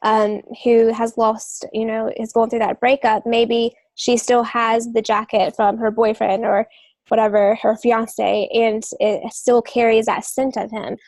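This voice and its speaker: Even-toned woman, higher voice, dry sound. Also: measured pace, no echo. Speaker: podcast co-host, novelist. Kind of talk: conversational writing advice.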